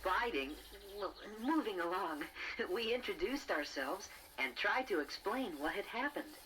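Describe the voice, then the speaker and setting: A man's voice: high-pitched, big pitch swings, a little slow, pushed and bright, heavily acted. A man voicing a friendly, earnest young bear who tells his adventure in a children's read-along storybook. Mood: earnest, curious. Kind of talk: 1980s children's read-along narration and dialogue. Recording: very noisy old cassette transfer.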